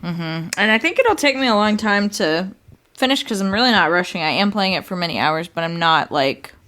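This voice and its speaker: A woman's voice: mid-range voice, lively intonation, a little fast, fairly bright tone. A woman co-hosting a podcast in casual chat, rambling and laughing often as she talks. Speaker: podcast co-host.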